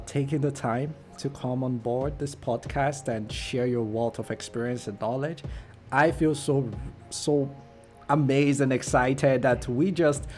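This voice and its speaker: A male podcast host with an average-pitched voice, encouraging and effusive in his thanks as he speaks to his guest and listeners. Faint music plays underneath him. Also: warm tone. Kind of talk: casual interview talk.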